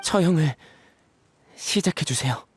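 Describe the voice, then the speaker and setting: An actor playing a remorseful, resigned young man in a game drama, with a husky, halting, subdued delivery. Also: a little high, expressive, slight background noise. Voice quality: husky, breathy.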